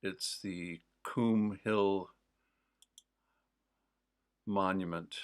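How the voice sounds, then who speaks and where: Host, male, mild Newfoundland accent; lowish voice, slow, meandering delivery with frequent fillers. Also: fairly even intonation, clear, resonant tone. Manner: digressive, with many pauses and fillers. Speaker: host of a livestream. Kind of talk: casual rambling chat.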